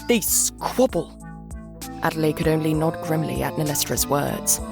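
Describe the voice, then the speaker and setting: A woman reading a dramatic story as an audiobook narrator. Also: sits low. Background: background music.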